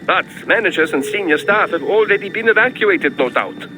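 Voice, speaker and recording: High-voiced, fussy German scientist. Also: male voice, animated, brisk, background noise. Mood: explanatory. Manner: precise, theatrical, eager. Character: excitable, fussy European male scientist mission adviser. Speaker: mission support over radio. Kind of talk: video game voice acting.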